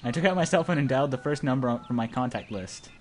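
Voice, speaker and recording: A man with an average-pitched voice reads story narration aloud as an amateur player, dropping into casual chat with friends. He stumbles over words now and then, and the home recording carries clear noise. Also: lively intonation, quick pace, a little mellow.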